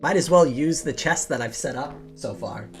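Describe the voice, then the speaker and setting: A woman with a rough, slightly hoarse and rattly voice, chatting casually as she hosts a game livestream and talks through her plans. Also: extremely low pitch, expressive, normal speed. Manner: chatty, explains plans aloud while playing. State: hoarse from days of coughing. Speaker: livestream host. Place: home office. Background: music.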